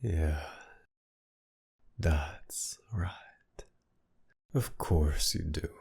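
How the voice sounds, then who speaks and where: Man playing a low, gravelly, breathy villain kidnapper. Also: dark tone, full character voice. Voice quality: low, breathy, gravelly murmur often dropping toward whisper. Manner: taunting, commanding, slow and teasing. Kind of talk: ASMR roleplay, intimate dramatic monologue.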